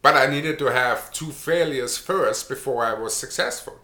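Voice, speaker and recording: A middle-aged man with an Austrian accent talks casually about his own path, often restarting sentences with a string of uh's. His voice has a bright, forceful edge at a mid pitch. The room around him is noticeably echoey. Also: normal speed. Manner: chatty, repeats words and restarts with many uh's. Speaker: online marketer. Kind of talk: casual personal story to camera.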